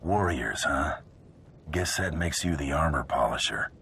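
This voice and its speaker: An actor playing a gruff, cocky, seasoned bounty hunter in a video game, wry and unhurried in manner. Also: male voice, a bass voice, sharp tone, slight background noise, full character voice. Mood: cool, sardonic. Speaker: player character in a video game. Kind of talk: video game cutscene acting.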